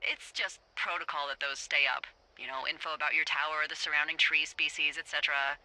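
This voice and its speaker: Bright, wry American lookout on radio. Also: female voice, sits low, fairly even intonation, brisk, no echo, rough recording. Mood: chipper. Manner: playful, breezy, wry. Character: wry, chipper, foul-mouthed adult woman fire lookout. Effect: two-way radio. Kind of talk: naturalistic video game dialogue.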